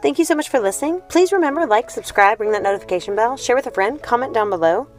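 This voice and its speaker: A woman reading a story aloud as an audiobook host, in an expressive narration style. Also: on the high side, quick pace. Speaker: audiobook reader and channel host. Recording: music behind the voice. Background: background music.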